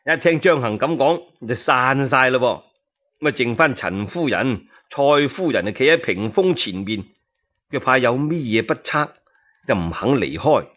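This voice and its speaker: A man with a Cantonese accent narrating a historical tale as a solo radio storyteller, dramatic and expressive. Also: medium pitch, measured pace.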